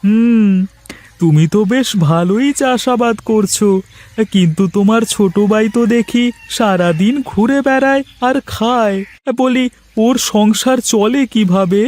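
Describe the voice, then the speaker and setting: A high-voiced village gossip, acted by a man in a cartoon tale. He is chatty and confiding, forever cautioning his neighbour. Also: a little slow, darkish tone. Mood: chatty, nosy. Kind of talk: Bengali moral-story cartoon dubbing.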